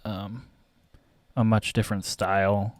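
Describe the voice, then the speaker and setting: Young male vlogger, low voice, slightly raspy, halting casual ramble, dry close desk mic. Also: flat delivery, dry recording. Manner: hesitant, many fillers and restarts, clears throat often. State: voice getting raspy. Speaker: photographer and vlogger. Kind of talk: casual rambling commentary while photo editing.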